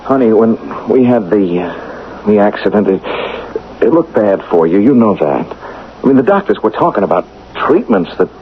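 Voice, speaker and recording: An actor playing an earnest, torn young football player in a radio drama, halting and apologetic. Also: male voice, lowish voice, sing-song. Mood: defensive, pleading. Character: earnest, torn young football star, meek under pressure. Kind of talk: 1970s radio drama acting. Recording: muffled, noisy vintage radio broadcast.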